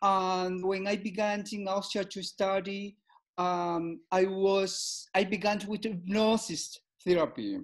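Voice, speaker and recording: A man in his fifties from Peru, answering interview questions in halting English and often repeating words. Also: high-pitched, steady tone. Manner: halting, repeats words, non-native phrasing. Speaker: guest psychoanalyst.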